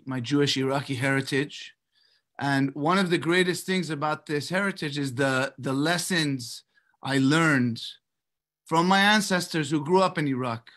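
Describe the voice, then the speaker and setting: A middle-aged American man from Los Angeles giving a prepared personal address as a rabbi, in a measured, slightly halting way on a video call. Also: on the high side, fairly bright tone. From Los Angeles, United States. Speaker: rabbi, guest speaker. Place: indoors in a book-lined study, on a video call. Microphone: computer mic on a video call.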